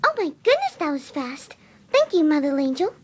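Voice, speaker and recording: A woman voicing a gentle, timid winged pony who dotes on animals, soft, sweet and motherly, in a fan cartoon story reading. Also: sits high, sing-song, a little fast, slight background noise. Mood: surprised, delighted.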